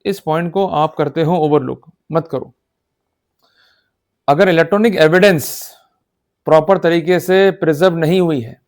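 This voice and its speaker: Indian male law lecturer, slightly high voice, emphatic and exhorting teacher who keeps checking understanding. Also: darkish tone. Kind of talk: instructional law lecture in Hindi-English mix.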